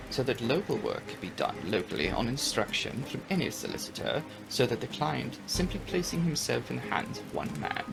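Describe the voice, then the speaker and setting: Guy narrating a gothic classic audiobook, expressive up n down, bit of fry, music under it. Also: medium pitch, poor audio. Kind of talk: dramatic narration of a classic novel.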